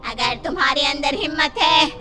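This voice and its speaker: A woman's voice: high-pitched, normal speed, loud music behind the voice, close to an everyday voice. A woman voicing a menacing evil female spirit in a horror cartoon, with threatening, taunting delivery.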